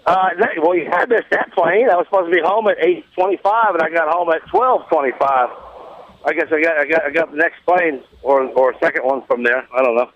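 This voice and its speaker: A man telling a rambling casual anecdote on a radio talk show, halting and repeating himself, with plain folksy grammar. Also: on the high side, dull audio.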